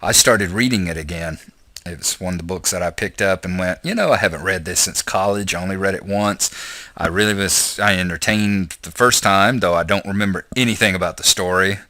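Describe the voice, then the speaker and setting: A hoarse man rambling folksily through a casual gameplay vlog, full of fillers. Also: sits low, fairly quick, pushed and bright, no echo. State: hoarse from a chest cold. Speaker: channel host.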